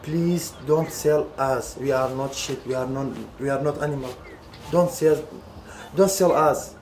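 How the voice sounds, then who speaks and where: A man in his twenties from Iraqi Kurdistan, a refugee speaking in halting, pleading English. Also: fairly high pitch, a little mellow, echoey room, a little hiss or hum, low-quality recording. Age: 20s. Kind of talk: interview testimony.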